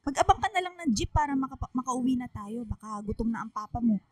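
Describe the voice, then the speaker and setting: A woman's voice: fairly high pitch, expressive, quick pace, no echo, clean studio sound. A woman playing an anxious, fussing middle-aged Filipino mother and wife in a radio drama, fretful and insistent. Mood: brisk, nagging.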